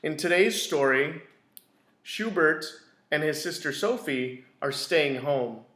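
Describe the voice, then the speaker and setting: A man reading a children's picture book aloud in a deliberate, expressive storytelling way. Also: fairly high pitch. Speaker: school read-aloud storyteller. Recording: roomy, echoey indoor sound.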